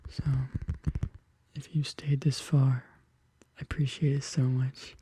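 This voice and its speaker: An ASMR host whispering softly and casually in a gentle, reassuring manner, close to the microphone. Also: medium pitch, level tone, slow pace, background noise. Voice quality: breathy whisper. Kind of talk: soft-spoken whispered ASMR. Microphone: close studio microphone.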